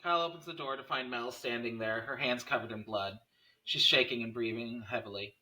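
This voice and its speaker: A man's voice: mid-range voice, a little fast, sharp tone, rough recording. A man narrating stage directions in a scripted screenplay table read.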